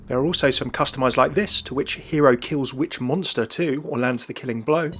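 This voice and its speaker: Fast-talking, chatty male gaming host. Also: medium pitch, music in the background. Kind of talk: scripted video commentary.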